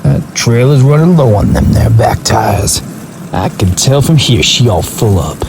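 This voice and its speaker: A suspicious, coarse bandit in an audio drama. He talks slowly and folksily in a mid-pitched voice. Engine noise is clear behind him. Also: sing-song. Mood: sly, accusing. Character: suspicious, drawling male roadblock bandit. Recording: noisy roadside with engine.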